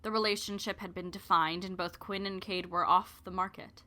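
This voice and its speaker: A woman as bright-voiced romance storyteller. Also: on the low side, fairly even intonation, normal speed. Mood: fond, wistful. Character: invested storyteller narrating a young couple's romance, digressive. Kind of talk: audio drama narration.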